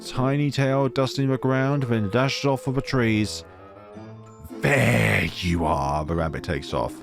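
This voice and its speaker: A man reading a visual novel aloud as dramatic narration, expressive and reacting as he goes. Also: medium pitch, moderate pace. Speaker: let's player reading a game aloud. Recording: music behind the voice. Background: game music.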